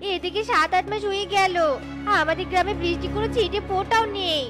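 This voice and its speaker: A fretful, complaining villager from an animal cartoon , acted by a woman. The voice is extremely high and lamenting, over clearly audible music. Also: fast talker. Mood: anxious lament. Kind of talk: cartoon voice acting. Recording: music behind the voice.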